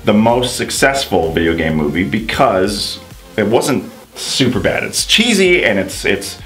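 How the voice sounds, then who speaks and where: A man's voice: on the low side, big pitch swings, unhurried, a bit bright, faint music behind. A man in his thirties chatting casually as co-host of a video game show. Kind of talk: casual scripted-topic chat.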